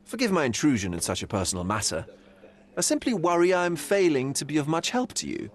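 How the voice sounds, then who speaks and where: A theatrical, mocking gentleman in a video game, all cynicism and teasing. His voice sits a touch high and swings wildly up and down. He sounds grand and sly at once. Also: big pitch swings, a little fast. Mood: probing.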